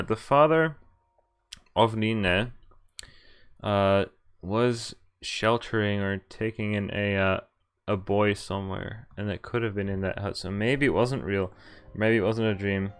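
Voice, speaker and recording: Male streamer, slightly low register, casual read-aloud delivery with self-corrections and chat asides, soft music underneath. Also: slow, clean tone. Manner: stumbles over read lines and corrects himself. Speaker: streamer reading a visual novel aloud. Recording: music playing behind the voice. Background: background music from the game.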